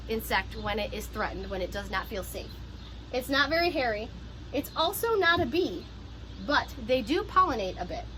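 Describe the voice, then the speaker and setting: Woman, high voice, teacher doing a children's read-aloud with simple explanations, noticeable background noise. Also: a bit bright, poor audio.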